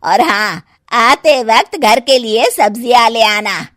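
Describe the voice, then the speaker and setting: Woman acting slow, menacing possessed daughter-in-law. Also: on the high side, expressive, fairly bright tone, no echo, clean studio sound. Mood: bossy. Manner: menacing, commanding, drawn-out words. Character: young daughter-in-law feigning a vengeful mother's ghost. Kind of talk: melodramatic Hindi story-video acting.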